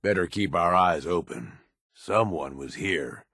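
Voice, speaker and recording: A man acting as a middle-aged raider lieutenant in a video game, gruff and blunt, with a world-weary, pragmatic manner and rough slang. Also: deep voice, expressive, unhurried, sharp tone, dry recording, studio quality, exaggerated voice. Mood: wary. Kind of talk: video game character dialogue.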